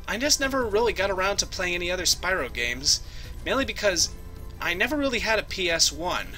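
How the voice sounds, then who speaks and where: A young man talking over his gameplay with a bright, lively voice that bounces all over the place. He clowns around, makes silly noises and chats with the characters on screen. Game music plays softly behind him. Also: fairly high pitch. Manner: goofy, jokey, talks to the game characters. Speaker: let's play host. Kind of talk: casual gameplay commentary.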